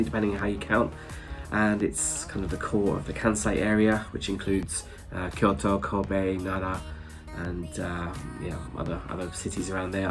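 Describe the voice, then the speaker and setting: Congested, low-voiced man chatting. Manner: chatty, rambling, with chuckles. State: congested from hay fever. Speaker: travel vlogger. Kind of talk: casual chat to camera.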